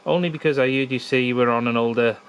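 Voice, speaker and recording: A man's voice: mid-range voice, fairly even intonation, fast talker, dull audio. Easygoing Welshman chatting, faint background noise. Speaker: amateur radio operator. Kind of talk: casual radio contact chat.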